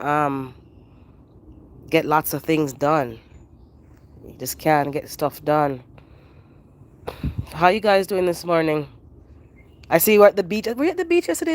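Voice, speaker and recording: A stuffy, nasal-sounding gardener talks through a phone camera in the backyard. The chat is loose and rambling, wandering from topic to topic in an easygoing way. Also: medium pitch. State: congested, nasal from a head cold. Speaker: gardening livestream host. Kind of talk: casual live chat with viewers.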